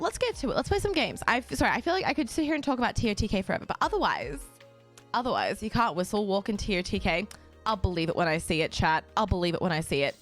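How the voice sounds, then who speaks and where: A young woman streaming a game and chatting casually with her viewers, bubbly and quick to laugh, her voice a little raspy from just waking up. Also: mid-range voice, fairly bright tone. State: slightly raspy, just woken up. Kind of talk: casual chat with a live stream audience.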